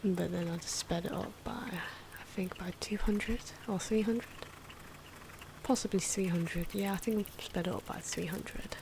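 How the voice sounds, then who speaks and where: A soft-spoken woman giving casual ASMR commentary on drawing, often slipping into whispers, in an unhurried, rambling and playful way. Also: fairly low pitch. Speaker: host of an ASMR drawing channel. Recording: clear background noise from drawing sounds. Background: pen and marker scratching.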